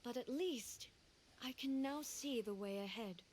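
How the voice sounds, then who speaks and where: A woman voicing a composed, regal female deity in a game, measured and formal. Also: fairly high pitch, darkish tone, a little hiss or hum, close to an everyday voice. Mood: solemn. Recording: game audio with music behind.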